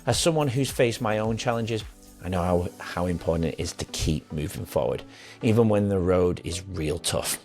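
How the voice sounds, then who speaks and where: A fairly low-voiced man who hosts a podcast. He talks in an earnest, rambling, encouraging way, and faint music sits quietly behind him. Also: moderate pace. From England. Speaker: podcast host. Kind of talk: casual interview chat and scripted outro.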